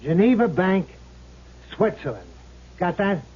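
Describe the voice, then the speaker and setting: An actor playing the ghost of a brash, wisecracking gangster in a 1970s radio drama, talking in tough, curt, bossy slang. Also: male voice, high-pitched, big pitch swings, measured pace, slightly rough, exaggerated voice. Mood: brash, impatient. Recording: muffled old radio broadcast.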